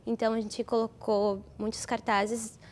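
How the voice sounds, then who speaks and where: A woman's voice: a little high, little pitch movement, normal speed. A woman, an actress explaining creative choices in an interview. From Brazil. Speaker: stage actress.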